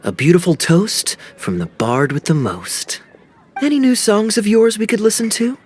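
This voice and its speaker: An actor playing a suave, wily young male captain in a video game, with a smooth, teasing, theatrical delivery. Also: high-pitched, lively intonation, normal speed, a little mellow. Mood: teasing, charming.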